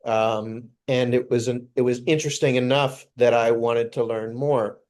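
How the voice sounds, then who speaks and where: A man from New York on a video call, telling his story in a measured way with soft filler sounds. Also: medium pitch, fairly even intonation. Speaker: guest introducing himself. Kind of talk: casual video call conversation.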